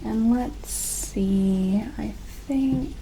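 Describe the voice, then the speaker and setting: Slow, hushed sci-fi medic played by a woman. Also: fairly high pitch, a little hiss or hum, poor audio. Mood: gentle, focused. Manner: hushed, hesitant, reassuring, informal. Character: gentle, respectful female sci-fi colony medic examining an alien. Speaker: ASMR roleplay performer. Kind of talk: soft-spoken ASMR roleplay.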